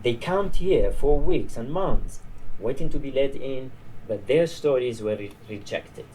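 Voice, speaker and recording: A man's voice: medium pitch, animated, a little slow, dark tone, close to an everyday voice. A man reading the part of a tired old border guard in a story, chatty, dismissive and grimly amused. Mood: incredulous, discouraging. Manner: weary, chatty, discouraging. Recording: noisy live reading in a bar.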